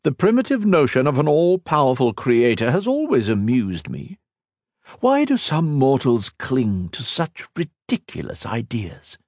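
A man's voice: medium pitch, huge pitch swings, unhurried, a little mellow, no echo, studio quality, pitched above the performer's own voice, heavily acted. A man voicing Shinzan, an arrogant eternal being. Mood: disdainful. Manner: haughty, lofty, lecturing. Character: ancient arrogant male cosmic being, cold and contemptuous.

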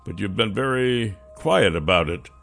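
A man's voice: fairly low pitch, lively intonation, moderate pace, creaky, fairly bright tone, faint music behind, full character voice. A male narrator voicing a young dragon prince in human form, blunt and teasing. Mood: wary. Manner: plain, dry, teasing.

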